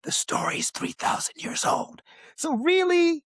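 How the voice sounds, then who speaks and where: A man's voice: very high-pitched, unhurried, a bit bright, no echo, studio quality. A man hosting a casual film discussion podcast, speaking emphatically and repeating phrases for effect. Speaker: podcast host.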